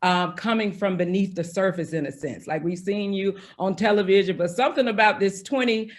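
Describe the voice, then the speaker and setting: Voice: medium pitch, fairly quick. An interview host who affirms the guest often and speaks with hesitant "uh" and "um" fillers. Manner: warm-hearted, affirming, with many "mm-hmm" and "uh" fillers. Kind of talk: conversational interview.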